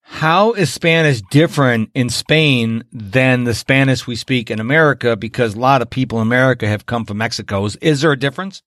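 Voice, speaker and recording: A man's voice: mid-range voice, fairly quick, hardly any pauses, fairly bright tone, no room sound, studio quality. A man hosting a podcast interview, chatting casually, laughing often and teasing his guest. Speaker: podcast host.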